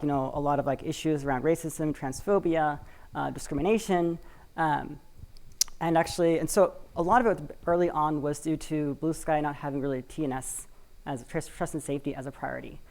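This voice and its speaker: A woman's voice: deep voice, vocal fry, a little mellow. A woman from Washington State giving a live conference talk, speaking conversationally with many fillers and hedging words. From Washington State, USA. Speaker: conference speaker for a community cooperative. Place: conference stage, in front of an audience.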